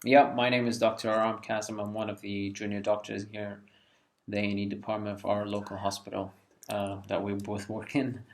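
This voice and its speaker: A man's voice: lowish voice, level tone, a little fast. A man in his twenties from Iraq, a junior doctor answering interview questions in a friendly, candid way. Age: 20s. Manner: friendly and candid, laughs lightly. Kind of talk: relaxed conversational answers.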